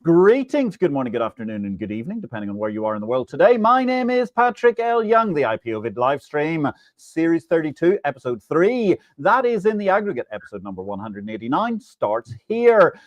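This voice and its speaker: Animated, theatrical male live stream host. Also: fairly high pitch, brisk, hardly any pauses, no room sound, dull audio. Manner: theatrical, emphatic, grandiose delivery. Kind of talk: animated scripted news monologue and guest interview.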